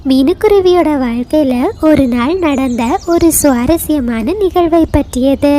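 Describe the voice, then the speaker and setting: A woman's voice: high-pitched, measured pace, warm tone, a little hiss or hum. A woman storyteller giving scripted narration of a children's tale.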